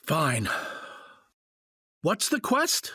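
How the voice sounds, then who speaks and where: Exasperated husband, wildly animated pitch. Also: male voice, very slow, slightly rough, no room sound, studio quality, full character voice. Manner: incredulous, petulant. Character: exasperated, sceptical husband thrown into fantasy world. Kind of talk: audio drama acting.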